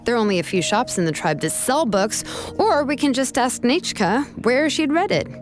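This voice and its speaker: A woman's voice: a little high, big pitch swings, normal speed, music in the background. A woman playing a cool, shrewd and determined young woman in a video game, probing and firm in manner. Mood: reasoning, firm.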